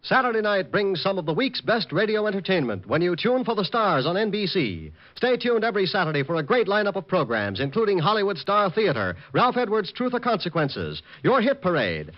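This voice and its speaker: A man's voice: high-pitched, very animated, brisk, hardly any pauses. An American man reading scripted credits and promos as an old-time radio announcer. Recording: muffled vintage radio recording. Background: music.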